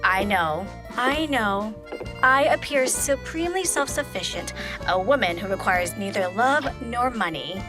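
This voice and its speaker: A woman's voice: mid-range voice, lively intonation, unhurried, over music, natural voice. A woman playing a prim, sly and menacing spinster heiress in an audio play, with haughty, ornate phrasing. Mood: haughty, purposeful. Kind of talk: theatrical audio play acting.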